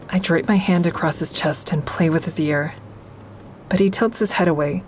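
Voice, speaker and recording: Actress as guarded, wry female lead of a fiction podcast, lower register, intimate first-person telling, some background rumble. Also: lightly acted. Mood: uneasy, guarded. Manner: confiding, dry, introspective. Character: guarded, wry young woman narrating her troubled romance. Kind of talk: intimate first-person audio drama narration and dialogue.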